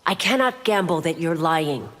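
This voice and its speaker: Woman as a low-voiced, stern constable. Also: animated, normal speed, very noisy, close to an everyday voice. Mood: stern, accusing. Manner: authoritative, formal. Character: stern, dutiful female city constable. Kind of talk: fighting game dialogue acting. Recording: long reverb, loud music.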